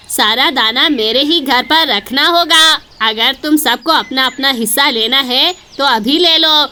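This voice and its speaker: Woman voicing a bright, high-pitched cartoon crow. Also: a little hiss or hum. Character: stubborn, distrustful male crow, later remorseful.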